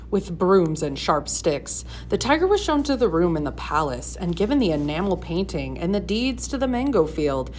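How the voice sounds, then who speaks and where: A woman reading a storybook aloud in expressive narration. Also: fairly low pitch, a little hiss or hum. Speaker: storybook reader.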